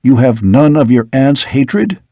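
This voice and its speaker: A man reading a weary older father, a doctor who speaks softly and gravely. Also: mid-range voice, expressive, measured pace, no room sound, studio quality. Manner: soft, tired, grave. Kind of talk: audiobook character reading.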